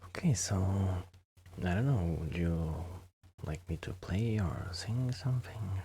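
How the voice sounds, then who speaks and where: A man with a very low voice talks softly and intimately in non-native English, as if keeping a friend company in an ASMR role-play. He is hesitant and modest, often sinking toward a whisper. There is clear noise in the recording. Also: expressive, measured pace. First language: not English, a second-language speaker. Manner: soft-spoken, often dropping into whispers, hesitant. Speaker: ASMR performer playing a musician friend.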